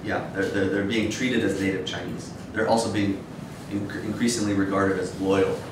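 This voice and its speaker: A man in his thirties giving an academic lecture in a measured, hesitant way. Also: flat delivery. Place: dim wood-shelved seminar room with audience, indoors. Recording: echoey room, distant mic, noisy rumble.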